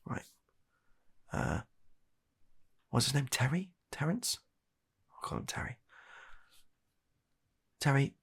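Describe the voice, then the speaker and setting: A British man with a gravelly, husky voice performing a comedic medical roleplay in ASMR style as a doctor, speaking in an exasperated, hesitant, awkwardly deadpan way. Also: medium pitch, takes it slowly, long pauses.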